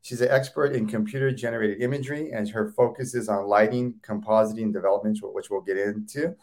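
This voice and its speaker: A man's voice: on the low side, steady tone, fast talker, darkish tone. A man hosting a casual interview, enthusiastic and often repeating or restarting words. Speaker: host.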